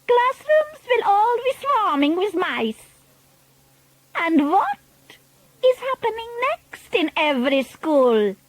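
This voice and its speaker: Grand old witch villain: pompous, commanding, high and lively. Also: slow pace, no echo, exaggerated voice, rough recording. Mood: scheming. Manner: grandiose, broken grammar, commanding. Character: scheming, pompous old female witch leader. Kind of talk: storybook reading.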